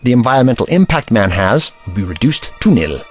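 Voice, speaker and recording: A man acts out a learned, eccentric time traveller in a sci-fi audio drama. He lectures in precise, old-fashioned words, protective and easily indignant, with pitch that swoops dramatically. Music plays along. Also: very animated, a little fast, husky. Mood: lecturing. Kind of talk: science fiction audio drama acting.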